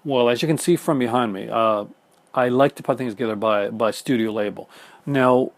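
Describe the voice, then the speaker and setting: A Canadian man talking fast and casually to the camera at home, with many fillers and restarts. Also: mid-range voice, no room sound. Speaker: film collector YouTuber. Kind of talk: casual chat reading viewer comments. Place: home room in front of film shelves.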